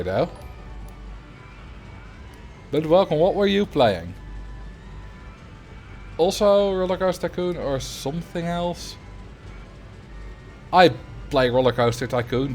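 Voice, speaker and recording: Dutch-accented male streamer, mid pitch, talkative, greets chat and narrates his building, faint music behind. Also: animated, unhurried, pauses often. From Netherlands. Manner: chatty, greets viewers, thinks aloud while building. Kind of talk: casual livestream commentary.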